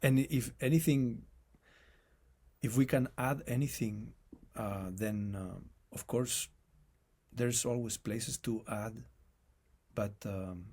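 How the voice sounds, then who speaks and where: Accented male guest, husky and gravelly, thoughtful and halting. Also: medium pitch, mellow. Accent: non-native English accent. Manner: reflective, halting, restarts phrases often. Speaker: guest musician. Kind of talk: casual conversation.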